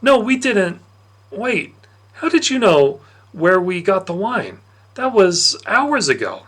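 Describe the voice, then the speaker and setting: A man's voice: high voice, sing-song, takes it slowly, a bit bright, pitched above the performer's own voice, exaggerated voice. A man voicing a frightened but defiant boy in a storybook, pleading and emotional. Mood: alarmed.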